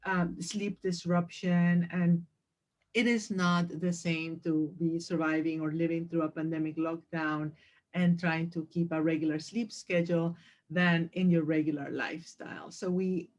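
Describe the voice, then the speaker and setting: A woman from Peru who is a sleep physician, speaking over a video call in a gracious, measured way. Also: lowish voice, flat delivery, a little mellow.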